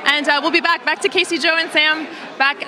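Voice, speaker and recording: A woman hosting live from a busy backstage lounge, gushing thanks and quickly throwing to other hosts. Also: sits high, steady tone, crowd noise. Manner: bubbly, effusive. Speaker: host.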